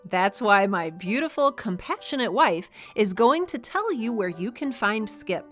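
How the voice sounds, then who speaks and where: A gracious hostess and wife in an audio comedy. She speaks at a middle pitch with sweet sincerity and a sly, teasing edge, with light music underneath. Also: big pitch swings, measured pace, a bit bright. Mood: sweetly wry. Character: gracious, sly wife hosting a dinner party. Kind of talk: comedic audio drama acting.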